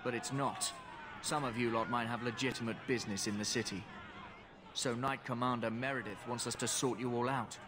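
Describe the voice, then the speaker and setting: A man's voice: medium pitch, fairly even intonation, a little fast, lightly acted. A man playing a brusque, dismissive city guard in a fantasy game, with outdoor noise behind him.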